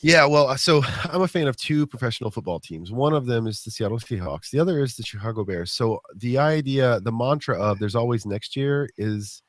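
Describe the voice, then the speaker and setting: A man's voice: mid-range voice, expressive, a little fast, few pauses, no echo. A man hosting a podcast interview, congested from a cold, upbeat and joking with plenty of fillers. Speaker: podcast host.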